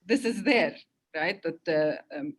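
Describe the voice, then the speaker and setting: Woman, lower register, casual panel discussion, halting, circles back to rephrase. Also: lively intonation, breathy edge. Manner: halting, self-interrupting, conversational.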